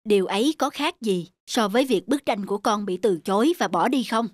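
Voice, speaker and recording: A man's voice: high-pitched, fairly quick, no room sound, studio quality, close to an everyday voice. Man playing a patient cartoon dad. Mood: patient, earnest. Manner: patient, explanatory. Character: patient, gentle father teaching his small son. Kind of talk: cartoon dialogue.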